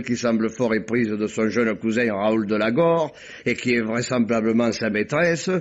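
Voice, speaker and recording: An actor in an old radio mystery playing a wily, jovial detective posing as a talkative gentleman from Provence, theatrical and deferential as he winds through his account. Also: medium pitch, lightly acted. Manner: voluble, theatrical, digressive, deferential. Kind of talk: old French radio mystery drama acting. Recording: muffled vintage radio recording.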